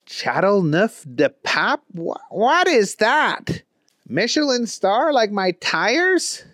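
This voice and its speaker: A storyteller voicing a dim-witted, slobby grown man with bad teeth, talking in a slow, bewildered drawl. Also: mid-range voice, big pitch swings, fairly bright tone, no room sound, studio quality, voice pushed up.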